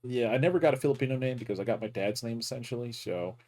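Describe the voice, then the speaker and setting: A young man hosting a reaction video, chatting casually, swearing freely and breaking into loud laughs. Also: mid-range voice, rapid-fire.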